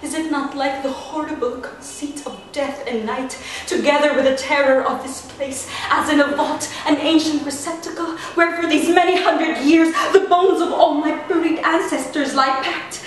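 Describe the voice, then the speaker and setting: A woman playing a frightened, desperate young noblewoman in a classical stage tragedy, with a dramatic delivery that moves between hushed and frantic. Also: sits high, fairly even intonation, normal speed, hardly any pauses, pitched above the performer's own voice, lightly acted. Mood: fearful, dreading. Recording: very echoey room, distant mic, faint noise.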